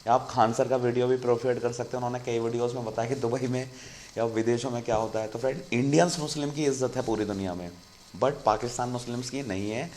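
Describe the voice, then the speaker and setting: A man's voice: medium pitch, steady tone, fast talker, a little mellow, a little hiss or hum. A man hosting an online channel, explaining in a casual chatty monologue and often calling viewers "friend". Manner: conversational, keeps saying "friend" to viewers. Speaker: YouTube channel host.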